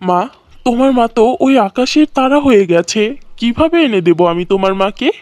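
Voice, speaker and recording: A woman voicing a gentle, consoling father with a patient manner in a cartoon fairy tale. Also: on the high side, expressive, normal speed, dry recording, natural voice.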